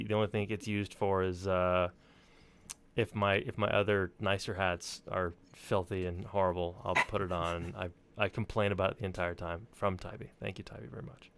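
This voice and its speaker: A man's voice: on the low side, slight background noise. A man telling anecdotes in casual chat, rambling informally and swearing freely. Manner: informal, profane, rambling storyteller.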